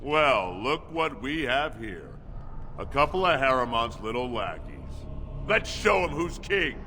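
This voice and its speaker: A menacing game thug. He taunts with a lively, swinging sneer, and loud noise crowds in behind the aggressive delivery. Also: mid-range voice, measured pace. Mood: taunting, menacing. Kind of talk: video game voice acting. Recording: loud background noise and effects.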